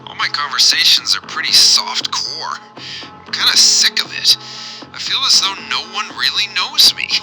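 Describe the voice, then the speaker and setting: A man's voice: sits high, sing-song, moderate pace, pushed and bright, soft background music, exaggerated voice, poor audio. A man playing a nervous, fragile radio caller in a comedy show, rambling and self-deprecating as he overshares. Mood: wounded, confessional. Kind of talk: scripted comedy radio monologue.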